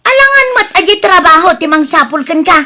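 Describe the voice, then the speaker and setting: A woman's voice: extremely high pitch, sing-song, a little fast, bright, forceful tone, slight background noise, full character voice. A woman acting a nagging, pleading village wife and mother short of money in an Ilocano comedy radio drama, with an Ilocano accent. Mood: nagging, exasperated.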